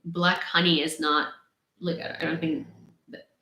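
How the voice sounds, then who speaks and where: A young woman from Ukraine with a Ukrainian accent, casually chatting on a livestream and reading viewer comments, laughing often and filling pauses with ums. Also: low-pitched, flat delivery, sharp tone. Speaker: livestream host. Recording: roomy echo.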